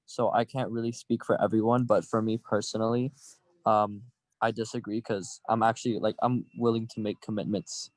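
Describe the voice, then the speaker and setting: A teenage boy talking quickly with stumbles and restarts as a panelist on a video call. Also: on the low side, flat delivery, dry recording. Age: teens. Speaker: student panelist.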